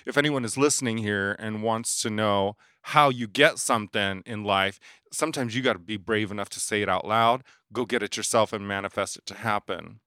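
A man in his forties, a makeup artist, telling stories in a casual interview, animated and laughing often as he acts out dialogue. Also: lowish voice, a little fast, hardly any pauses, no echo, clean studio sound.

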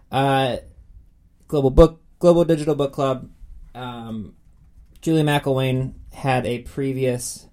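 A man's voice: medium pitch, mellow. A man co-hosting a podcast in a casual, enthusiastic chat. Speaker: podcast co-host.

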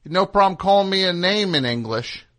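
A man hosting a radio comedy show with a bright, forceful voice. He rambles excitably, swinging from high, animated bursts down to low mutters. It is a loose, joking phone chat. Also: moderate pace. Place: radio studio.

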